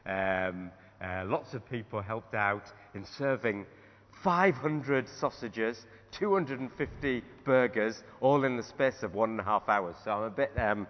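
Croaky preacher guy in a church, dull muffled sound, long hall echo. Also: fairly low pitch, measured pace. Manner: rambling with restarts. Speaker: pastor. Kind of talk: sermon.

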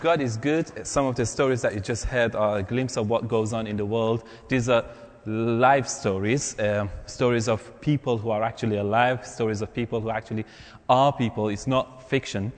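A male pastor speaks to his congregation in an informal, conversational sermon style. His medium-pitched voice rings through a hall with long reverb. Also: fairly even intonation, moderate pace. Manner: informal, quizzing the listeners. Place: large reverberant church hall.

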